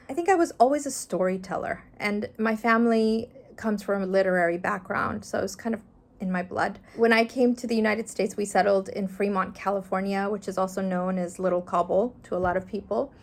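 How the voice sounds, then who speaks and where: A woman's voice: mid-range voice, creaky. A middle-aged woman from Afghanistan, a journalist, talking earnestly and thoughtfully in an interview, with some fillers. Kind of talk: reflective interview answers.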